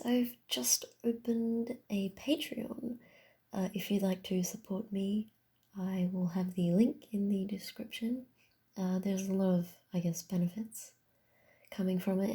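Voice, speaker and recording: A woman speaks casually to her audience as an ASMR creator. Her voice is quiet, breathy and mellow. She takes her time and sounds tentative and low-key. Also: fairly even intonation, measured pace. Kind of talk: casual soft-spoken channel announcement.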